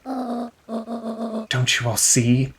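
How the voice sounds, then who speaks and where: A man's voice: very high-pitched, very animated, very slow, noisy background, exaggerated voice. A man voicing an outraged townswoman in a game, accusing and impassioned. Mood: urgent, accusing. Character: defiant, outraged townswoman exposing a conspiracy.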